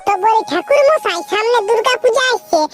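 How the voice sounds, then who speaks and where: A woman voicing a sassy, nagging village woman in a comic cartoon, with a Purulia Bengali accent and a pitched-up voice. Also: expressive, fairly quick, over music. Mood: nagging, bargaining. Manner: sharp-tongued, bargaining.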